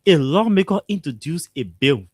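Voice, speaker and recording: Animated Liberian man, higher voice. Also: normal speed, husky, dry recording. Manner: animated, emphatic, repeats himself. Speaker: talk show host. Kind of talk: radio talk show discussion. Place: radio studio.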